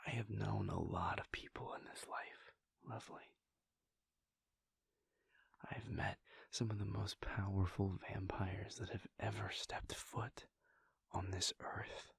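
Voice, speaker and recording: A man voicing a weary, devoted male vampire prince in a soft, intimate whisper, speaking in slow, halting, heartfelt confessions. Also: deep voice, flat delivery, gravelly, husky, no room sound, studio quality, exaggerated voice. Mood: tender, hushed. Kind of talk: whispered audio roleplay.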